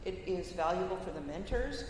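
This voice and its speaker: A woman's voice: lowish voice, expressive, brisk. A woman on a conference panel speaking plainly and encouragingly about mentoring, in a large hall. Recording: echoey hall recording with light noise.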